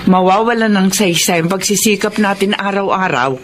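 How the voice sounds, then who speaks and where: An actress playing a caring, hardworking mother in a Tagalog radio drama, gently admonishing her family. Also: mid-range voice, normal speed, slight background noise, close to an everyday voice. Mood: brisk, caring. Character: caring, hardworking mother who peddles fish.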